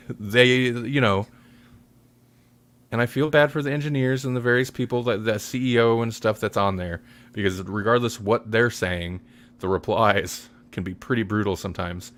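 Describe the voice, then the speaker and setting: Casual male podcast host with a lowish voice and a rambling, self-correcting way of talking. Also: a bit bright. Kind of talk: casual chat.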